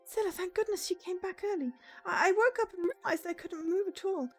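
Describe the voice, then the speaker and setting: A woman acting out a girlish android from a cyberpunk game, pitched well up high. She sounds plaintive, excitable and fretful, with faint music behind. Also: fairly quick. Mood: panicked. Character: high-voiced, excitable, helpless young female android.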